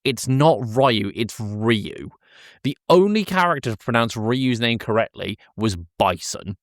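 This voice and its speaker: A man's voice: medium pitch, expressive, measured pace, dry recording, studio quality. A man hosting a podcast, casually discussing film with a pedantic, detail-minded way of talking. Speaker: podcast host.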